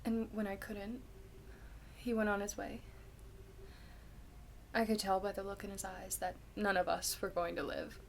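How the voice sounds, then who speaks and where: A woman performing a candid, self-deprecating young woman in a dramatic stage monologue, with halting, confessional delivery and dramatic pauses. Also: medium pitch, flat delivery, creaky, slight background noise. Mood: haunted, regretful.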